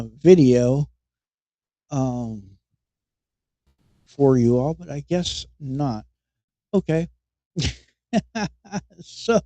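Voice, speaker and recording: Slow, wry man. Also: on the high side, dark tone, no echo. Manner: wry and mocking, chuckles at his own jokes; halting, repeats words as he goes. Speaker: podcast host. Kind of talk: comedic news commentary.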